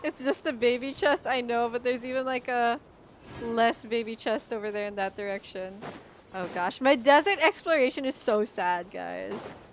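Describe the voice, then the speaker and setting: A woman's voice: fairly high pitch, moderate pace, a little hiss or hum. A woman streamer chatting casually and expressively as she reacts to a game she is playing. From Philippines.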